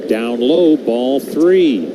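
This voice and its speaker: A man calling baseball play-by-play in a radio style, mixing clipped pitch calls with conversational asides. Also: expressive, very slow. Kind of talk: live radio sports play-by-play commentary. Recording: very noisy live broadcast, constant background hum.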